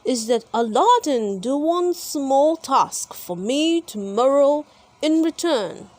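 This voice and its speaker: A sly, evil storybook magician played by a woman, bossy and short-tempered. His voice sits high for a villain and swings dramatically as he bellows out slow, commanding orders. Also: lively intonation, a little mellow, no room sound.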